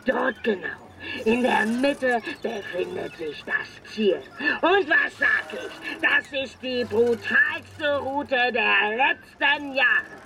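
A woman playing an excitable race commentator at a pirate boat race in a children's radio play, breathless and dramatic. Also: fairly high pitch, takes it slowly, slight background noise, heavily acted. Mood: dramatic buildup.

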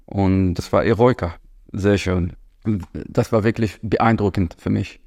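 A Palestinian musician, answering interview questions in halting German and searching for words. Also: male voice, low-pitched, fairly even intonation, creaky, darkish tone, no room sound, clean studio sound. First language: Arabic. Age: 30s. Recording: music playing behind.

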